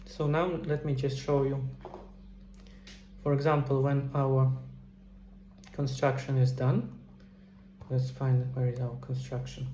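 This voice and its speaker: Middle aged guy doing a casual screen tutorial, soft dark tone, bit of room echo. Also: medium pitch, level tone, slow pace, pauses often. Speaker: game developer giving a tutorial. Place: small indoor room at a computer. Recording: roomy echo, faint background noise.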